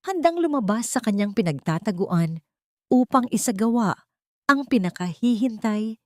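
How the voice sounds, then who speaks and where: A woman's voice: on the high side, lively intonation, normal speed, dry recording, studio quality. A woman reading a dramatic scripted story as its narrator.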